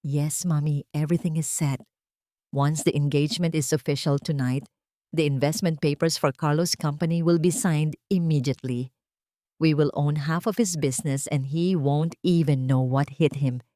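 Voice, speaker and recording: A gloating young heiress in an audio story, played by a woman narrator, greedy and boastful. Her voice sits low, with a dry, close sound. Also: steady tone, normal speed, mellow, no room sound, lightly acted. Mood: smug. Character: smug, greedy young rich fiancée scheming.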